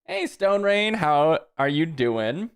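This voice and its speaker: A man's voice: a little high, big pitch swings, slow, clean tone. Chatty man streaming a card game. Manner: chatty, answers chat, thinks aloud about plays. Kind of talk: casual live-stream chat while playing.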